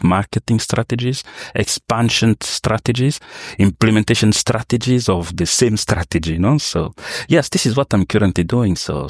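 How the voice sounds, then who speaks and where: A man's voice: low-pitched, dry recording, clean studio sound. A young man from Cameroon telling his life story in an interview, with many fillers and restarts. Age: young adult. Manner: hesitant, many fillers and restarts, non-native phrasing. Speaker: interview guest, sales professional.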